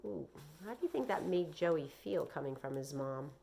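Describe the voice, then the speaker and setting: A woman's voice: low voice, expressive, moderate pace, vocal fry, warm tone. A woman reading a children's novel aloud as narrator, with brief explanatory asides.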